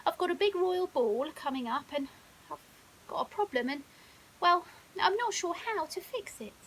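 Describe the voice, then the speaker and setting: A woman's voice: very high-pitched, expressive, moderate pace, a bit bright, voice pushed up, low-quality recording. A woman voicing an insecure, lovestruck young male lion in a storybook, hesitant and informal. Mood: troubled. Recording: hissy background noise.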